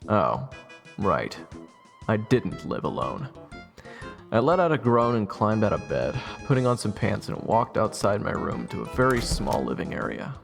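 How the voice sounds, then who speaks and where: A man's voice: on the low side, very animated, unhurried, vocal fry, heavily acted. A man in his twenties playing an easygoing, wry young man who narrates his own story in an audio drama. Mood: relaxed, wry. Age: 20s. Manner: casual, conversational, wry. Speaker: narrator and lead character. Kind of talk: first-person audio drama narration and dialogue. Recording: music bed behind voice.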